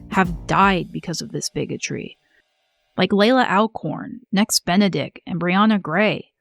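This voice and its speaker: Earnest low gravelly woman narrating. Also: no room sound. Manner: earnest, measured. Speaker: video essayist. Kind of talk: scripted video essay narration.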